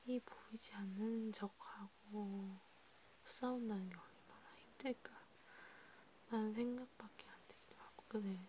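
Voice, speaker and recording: A woman playing a sympathetic vampire counselor in a whispered ASMR role play, breathy and intimate, talking casually and haltingly. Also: mid-range voice, steady tone, warm tone, a little hiss or hum, close to an everyday voice, low-quality recording. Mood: soft, reflective. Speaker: ASMR creator.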